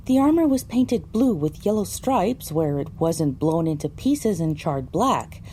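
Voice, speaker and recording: A woman's voice: on the low side, sing-song, measured pace, warm tone, slight background noise. A woman delivering scripted, first-person narration for an audio drama.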